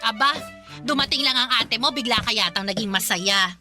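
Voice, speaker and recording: A woman's voice: a little high, normal speed, pushed and bright, faint music behind. A woman acting a shameless, flirty middle-aged Filipino mother in a radio drama, brash and whiny as she defends herself. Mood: nagging.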